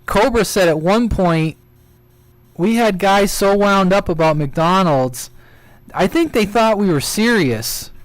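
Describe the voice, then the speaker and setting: A man's voice: fairly high pitch, clean tone, no echo, poor audio. A man hosting a casual live stream, chatting and telling stories in a jokey, rambling way. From United States. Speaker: CB radio live stream host. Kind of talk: casual chat.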